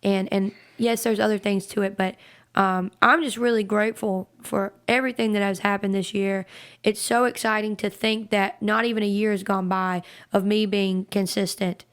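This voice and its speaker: A young woman in her twenties with a raspy voice and a Southern country accent. She talks earnestly and casually, repeating words as she gets her thoughts out. Her pitch sits in an ordinary middle range. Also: flat delivery, normal speed. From North Carolina, US. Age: 20s. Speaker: guest singer. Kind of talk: casual interview chat.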